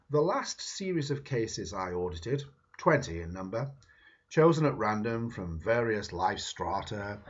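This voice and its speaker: A man reading a book foreword aloud in a slow, emphatic, dramatic way. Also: medium pitch, breathy edge. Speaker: reader. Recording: dull lo-fi recording.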